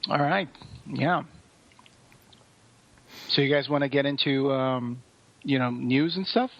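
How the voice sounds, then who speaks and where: A man's voice: medium pitch, slow pace. A man co-hosting a podcast in casual, enthusiastic chat, telling things in an anecdotal way. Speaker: podcast co-host. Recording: dull, roomy recording.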